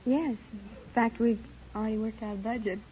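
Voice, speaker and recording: A woman's voice: a little high, steady tone, a little fast. A twenty-year-old woman answering a host's questions as a quiz show contestant on the radio. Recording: muffled old radio recording, faint noise and audience. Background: live studio audience.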